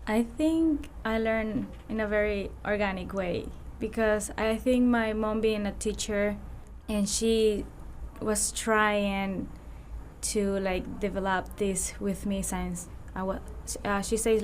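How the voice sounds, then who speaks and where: A woman from Venezuela, a guest artist telling her story in an unhurried way. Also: medium pitch, fairly even intonation, a little hiss or hum. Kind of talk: casual interview talk.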